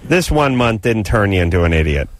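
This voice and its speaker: A man's voice: fairly low pitch, sing-song, fairly quick, no room sound. A man co-hosting a call-in radio show, joking bluntly and teasing a young caller.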